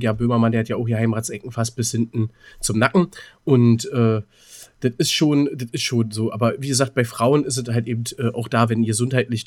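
A man chatting casually as co-host of a podcast. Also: mid-range voice. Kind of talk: casual chat.

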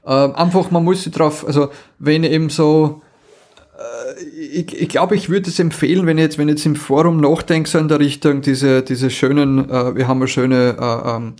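A man with an Austrian accent co-hosting a podcast, giving casual reviews in a halting, repetitive, enthusiastic way. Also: a little high, steady tone, a little mellow. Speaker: podcast co-host.

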